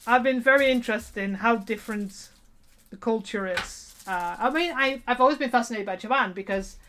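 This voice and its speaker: Woman in her forties, rambling cosplay streamer voice a bit on the high side. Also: fairly quick, a bit bright, slight background noise. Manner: rambling, self-interrupting, laughs at herself. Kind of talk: casual live-stream chat while sewing.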